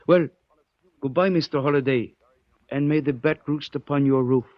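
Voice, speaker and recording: An actor playing a calm, courteous Chinese antique shopkeeper who speaks formally and philosophically in a 1940s radio drama. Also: male voice, fairly high pitch, normal speed, no room sound, close to an everyday voice. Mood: polite, firm. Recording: dull old 1940s radio recording.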